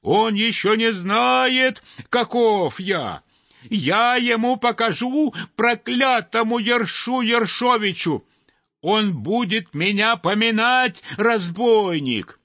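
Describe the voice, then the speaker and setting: A man puts on a hot-headed little male sparrow from a storybook, shrill and shouting, choking on his own fury. The voice jumps way up high and leaps around wildly, on a slightly dull, dry recording. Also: big pitch swings, takes it slowly, pauses often, no echo, heavily acted. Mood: furious. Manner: shrill, shouting, breathless with rage.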